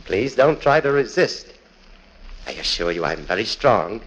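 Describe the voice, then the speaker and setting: A crazed tomb-dwelling murderer, old radio horror, creepy polite, voice swings all over the place. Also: male voice, on the low side, very animated, measured pace, a bit bright, low-quality recording. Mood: gloating menace. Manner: theatrical, mock-polite, menacing, cackling. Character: deranged, gloating male murderer lurking in his brother's tomb. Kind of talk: 1940s radio horror acting. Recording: old 1940s radio recording.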